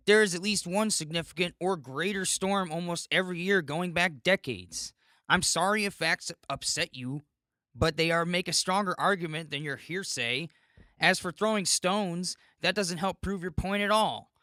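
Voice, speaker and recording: A high, bright, scolding internet commenter, put on by a man for a comic podcast skit. The delivery is exaggerated and sarcastic, as in a petty online squabble, and the sound is dry. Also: no room sound.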